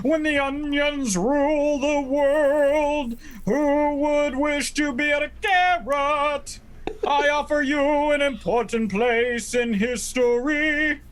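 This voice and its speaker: A man's voice: very high-pitched, fairly even intonation, takes it slowly, faint music behind. A man playing a scheming, mock-courteous villain in a 1940s-style radio play, silky and condescending. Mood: grandiose. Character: scheming, mock-courteous mad villain leader of warring vegetables.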